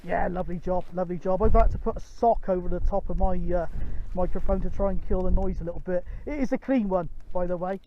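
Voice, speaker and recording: A man's voice: sits high, fast. A man chatting casually as a CB radio operator, chummy, repeating himself and using radio jargon and call signs. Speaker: CB radio operator, channel host. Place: outdoors on a windy mountain peak. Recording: dull, windy outdoor recording with noise. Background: wind.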